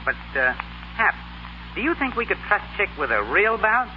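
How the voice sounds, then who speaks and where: An actor playing an affable, well-spoken wealthy young gentleman, in 1930s radio comedy style. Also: sits high, very animated, moderate pace. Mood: affable, eager. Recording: scratchy, muffled 1930s radio recording.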